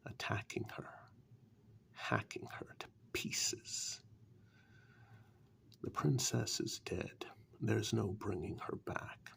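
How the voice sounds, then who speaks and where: A man playing a sly, condescending warlock who bargains coolly in a dark fairytale ASMR role-play, speaking softly and breathily with slow, dismissive deliberation. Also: on the low side, heavily acted. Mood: grave, mocking pity. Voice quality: breathy half-whisper, gravelly.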